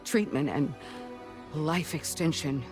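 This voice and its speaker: An actor playing a weary, grieving doctor in a video game drama, speaking in halting, measured phrases. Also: male voice, on the high side, over music. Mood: somber. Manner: halting, measured delivery in short broken phrases. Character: grieving, weary doctor who delivers babies, pleading for refugee mothers.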